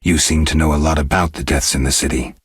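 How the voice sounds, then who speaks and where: Casting: stoic, not quite human game monster hunter; very deep bass, husky gravel, laconic deadpan lines. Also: male voice, fast talker, no room sound, clean studio sound, full character voice. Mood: terse, businesslike. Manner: terse, deadpan, guarded. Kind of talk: video game dialogue acting.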